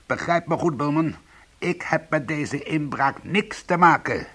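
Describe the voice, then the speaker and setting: A man's voice: on the high side, a little slow, fairly bright tone, breathy edge. A man playing a bitter, sardonic small-time ex-convict in a Dutch radio play, protective and combative. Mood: angry, defiant. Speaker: lead actor and first-person narrator.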